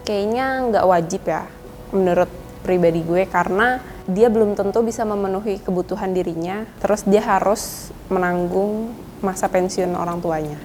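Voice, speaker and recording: A woman's voice: mid-range voice, normal speed, a little mellow, a little hiss or hum. A woman in her early twenties giving short, casual interview answers. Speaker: business development worker being interviewed.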